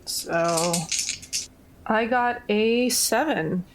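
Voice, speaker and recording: A woman's voice: on the high side, drawn-out words, low-quality recording. A woman chatting casually as a player in a tabletop roleplaying game.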